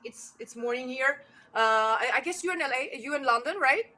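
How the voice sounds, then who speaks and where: A woman with a German accent hosting an online sports talk show, excitable and restarting her sentences, over a video call. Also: sits high, normal speed. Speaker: host.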